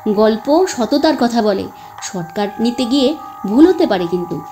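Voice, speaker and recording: A woman voicing a brave, clever young village girl in a storybook tale, speaking calmly and steadily. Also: on the high side, moderate pace, soft background music, natural voice. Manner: calm and steady.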